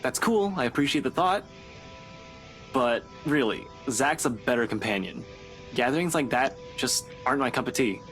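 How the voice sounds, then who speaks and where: A man's voice: mid-range voice, lively intonation, normal speed, fairly bright tone, lightly acted, low-quality recording. A man playing a dry, reluctant, sardonic young man in a visual novel game, with a laid-back manner. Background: game music.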